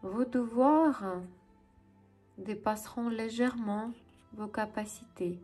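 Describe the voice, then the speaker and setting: Slow-speaking Ukrainian woman. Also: fairly high pitch, a little mellow, soft background music. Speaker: tarot reader and channel host. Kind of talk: tarot reading addressed to viewers.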